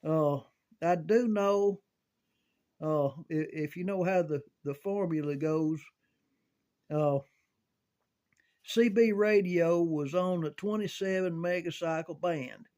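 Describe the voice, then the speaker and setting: An older man telling casual, rambling recollections in a halting, folksy way, as if thinking aloud. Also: on the high side, a little mellow. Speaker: amateur storyteller reminiscing about CB radio.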